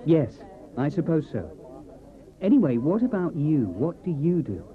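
A man's voice: a little high, big pitch swings, unhurried, a faint crowd. A man playing a mild, modest computer programmer making small talk. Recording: dull cassette tape.

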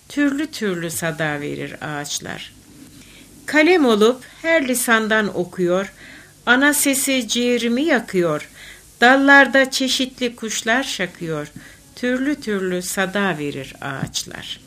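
A woman reciting folk poetry expressively, with measured, declamatory phrasing. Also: medium pitch, animated, fairly bright tone. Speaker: poetry reader.

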